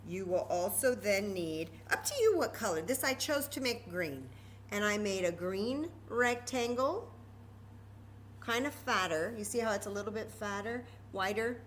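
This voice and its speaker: A woman's voice: medium pitch, expressive, measured pace, a little hiss or hum. A woman presenting a children's story time craft, explaining each step informally and patiently and repeating key words.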